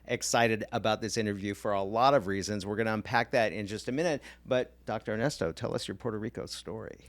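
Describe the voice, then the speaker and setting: A man's voice: on the low side, no echo. A man hosting a business podcast interview, chatting casually and eagerly agreeing with his guest. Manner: eager, quick backchannel agreement. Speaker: podcast host.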